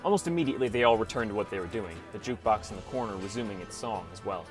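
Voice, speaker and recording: A wry teenage hero, played by a man narrates a fantasy game audiobook in the first person. His delivery is understated and downcast, in a fairly low voice. Faint music runs beneath it. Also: expressive, rapid-fire, natural voice. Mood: reflective narration.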